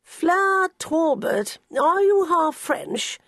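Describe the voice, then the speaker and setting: A woman reading aloud voicing a fussy, snobbish elderly English gentleman with a drawling, affected upper-class delivery. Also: extremely high pitch, very animated, drawn-out words, vocal fry, no room sound, clean studio sound, pitched above the performer's own voice, heavily acted. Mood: pompous.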